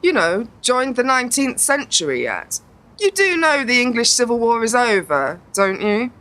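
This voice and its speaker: A woman's voice: on the high side, sing-song, moderate pace, a bit bright. A woman playing a sardonic, bored teenage girl in a radio play, dismissive and sceptical in manner. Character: sarcastic, sceptical, bored teenage granddaughter about to leave for university.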